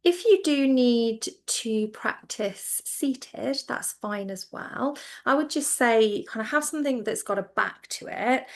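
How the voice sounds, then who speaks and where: A woman teaching yoga nidra, guiding listeners into relaxation in a gentle, reassuring, informal way. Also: mid-range voice, creaky. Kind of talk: guided relaxation instruction.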